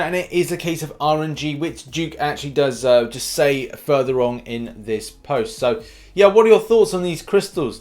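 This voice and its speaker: A man's voice: fairly high pitch, a little mellow. A British man hosting an upbeat gaming news update, informal and cheeky, punching the starts of his phrases. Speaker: gaming news YouTuber and host.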